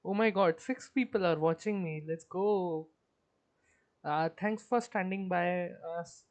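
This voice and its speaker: A woman's voice: on the low side, measured pace, a little mellow. A woman reacting casually to a game as she live streams it.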